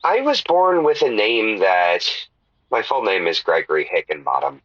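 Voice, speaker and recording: A man's voice: lowish voice, sing-song, moderate pace, breathy edge, dry recording. A man playing a put-upon, hesitant caller on a comedy radio advice show, telling his troubles plaintively through a dull lo-fi line. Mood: aggrieved, sheepish. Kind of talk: improvised comedy radio call-in.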